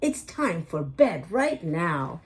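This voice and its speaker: Woman as a slow, gently scolding granny ape. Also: lowish voice, very animated, slight background noise, voice pushed down, heavily acted.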